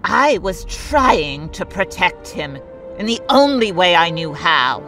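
A woman playing a sardonic, defiant former dark-side warrior in an audio drama, curt and insolent. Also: on the low side, very animated, slow pace, full character voice. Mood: angry, defensive. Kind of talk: fan audio drama acting. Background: music.

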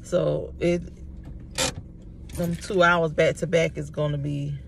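A woman's voice: low-pitched, flat delivery, slow pace, creaky. A woman, chatting and ranting animatedly to a phone camera while sitting in her parked car. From South Carolina. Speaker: vlogger. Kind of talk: casual chat to camera. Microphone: phone on dashboard. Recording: loud car and outdoor noise.

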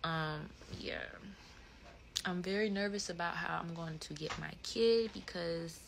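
A woman in her twenties with an American accent, chatting casually and playfully on a livestream in animated slang. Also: fairly low pitch, a little slow, creaky, a little hiss or hum, poor audio. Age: 20s. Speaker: livestream host. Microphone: phone at close range.

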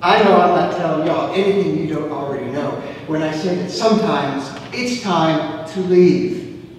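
Older pastor preaching in an echoey sanctuary. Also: male voice, fairly high pitch, moderate pace, a little hiss or hum. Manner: storytelling, gesturing, dropping to a hush on key phrases. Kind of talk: sermon. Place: church sanctuary, at the pulpit. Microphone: far camera, room mic. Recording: echoey church hall from far off.

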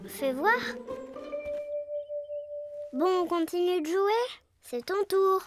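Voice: extremely high pitch, animated, takes it slowly, music in the background, heavily acted. A performer voicing a sweet, earnest little brown bear cub boy in a preschool cartoon, with childlike speech. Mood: curious.

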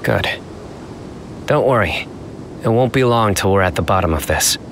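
Serious vigilant game enforcer, really low voice, measured and commanding. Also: male voice, expressive, background noise. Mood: grave, resolute. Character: stoic, vigilant young male desert lawman. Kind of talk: video game voice acting.

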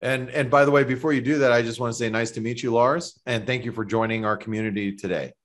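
A man's voice: medium pitch, fairly even intonation, quick pace. Enthusiastic man chiming in on a webinar. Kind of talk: casual webinar chat.

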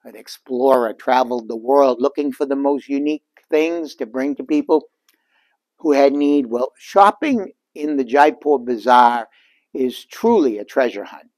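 A man's voice: mid-range voice, a little slow, darkish tone, no echo, poor audio. An older man hosting a walking tour of a large furniture showroom, talking to viewers in an enthusiastic salesman's patter through a lapel mic.

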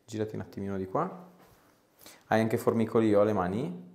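A man, reassuring and informal as he gives a patient gentle instructions. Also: on the low side, brisk, crowd noise. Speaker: chiropractor. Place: small clinic treatment room. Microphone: clip-on lapel mic.

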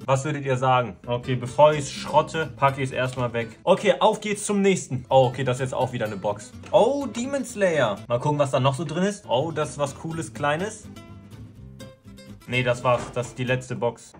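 A man in his twenties chatting casually to camera as a vlogger, in an animated, slangy way. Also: mid-range voice, normal speed, faint music behind. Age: 20s.

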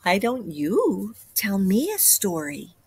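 Woman acting a father rooster, patient, weary, storybook bedtime voice, unhurried, chiding. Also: medium pitch, huge pitch swings, darkish tone, slightly rough, dry recording, voice pushed down, exaggerated voice.

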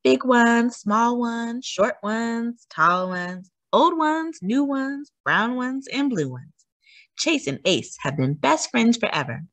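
Female children's storyteller, animated and emphatic read-aloud, very varied intonation, dry sound. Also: on the high side, slow, dry recording.

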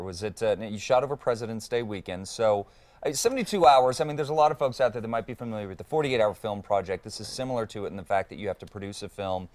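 Upbeat male morning TV host. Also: on the low side. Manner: upbeat, quick to fill gaps with "mm-hmm". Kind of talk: morning TV studio interview. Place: TV studio set, indoors.

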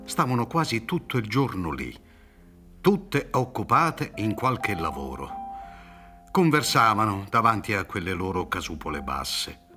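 A man's voice: fairly low pitch, very animated, unhurried, sharp tone, faint music behind. A middle-aged man reading scripted literary narration for a radio drama. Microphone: studio microphone, seated on a theatre stage.

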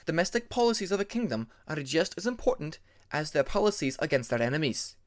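Formal, sincere female ice dragon, played by a male narrator. Measured delivery, lifted pitch, fantasy audiobook. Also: big pitch swings.

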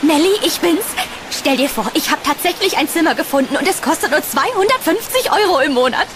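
A woman playing a young student in a horror audio drama, speaking in an expressive, chatty way. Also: high voice, a little fast, noisy background. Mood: excited, cheerful. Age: young adult.

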